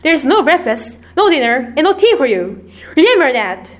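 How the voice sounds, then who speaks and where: A woman's voice: sits high, big pitch swings, moderate pace. A woman voicing a stern, angry mistress of the house with a cold, scolding, menacing manner in a told story. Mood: cold, punishing.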